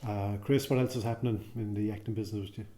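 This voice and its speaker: A man's voice: fairly low pitch, fairly even intonation, fast talker, mellow. A man interviewing actors, often repeating words as he stumbles through questions. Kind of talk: casual interview.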